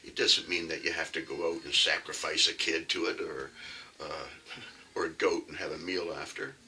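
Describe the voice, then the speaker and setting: A man's voice: extremely low pitch, fairly even intonation, normal speed, pushed and bright, recorded from a distance, slight background noise. A man with a Canadian accent giving a casual, rambling archery talk on his own channel. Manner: rambling, folksy, with frequent fillers. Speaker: archery vlogger.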